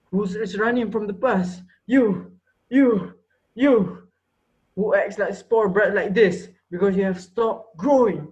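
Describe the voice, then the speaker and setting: A man playing an unhinged, menacing teacher in amateur reader's theater, taunting his students in a theatrical way with drawn-out words. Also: sits high, darkish tone, muffled recording.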